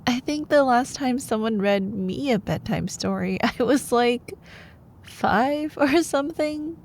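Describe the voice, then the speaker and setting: A woman acting as a sweet, teasing college-age girlfriend in a bedtime ASMR roleplay. Her voice is highish and very expressive, with an extremely unhurried pace. She is soft and playful, easing now and then into breathy murmurs. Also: slight background noise. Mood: playful, affectionate. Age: young adult. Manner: soft, playful, giggly, slipping into breathy whispers. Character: sweet, teasing young girlfriend, a sleepy-hours college student. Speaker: ASMR voice performer.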